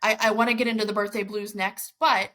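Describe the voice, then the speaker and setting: A woman's voice: medium pitch, flat delivery, fairly quick, fairly bright tone. A woman hosting a podcast interview, bubbly and enthusiastic in her questions. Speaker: podcast host.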